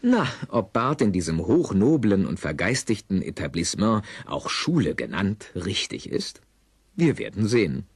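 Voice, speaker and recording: A man's voice: on the low side, husky, dry recording. A man narrating a scripted audio play for children in a wry, teasing manner.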